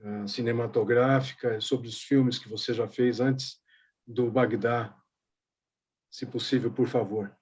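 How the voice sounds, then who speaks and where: A man's voice: medium pitch, fairly even intonation, normal speed, darkish tone, husky. A man moderating a panel, politely putting a question to a guest.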